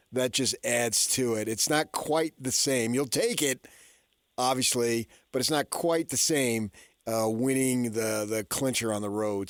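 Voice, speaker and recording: A man's voice: mid-range voice, dry recording. A middle-aged man hosting sports radio, talking casually and thinking aloud as he rambles along, close to the microphone.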